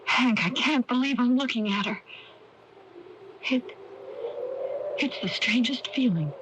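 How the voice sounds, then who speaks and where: An actress playing a dreamy, unsettled woman in awe in a radio drama, speaking in a hushed, halting way. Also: fairly high pitch, animated, highs cut off. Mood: awed, uneasy. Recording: noisy old AM radio broadcast.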